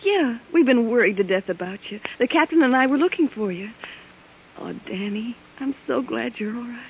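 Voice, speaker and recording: An actress playing an anxious, caring young fiancee in 1950s radio drama, fretful and gently insistent. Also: female voice, on the high side, animated, moderate pace. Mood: relieved. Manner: fretful, pleading. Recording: thin, muffled, hissy vintage radio recording.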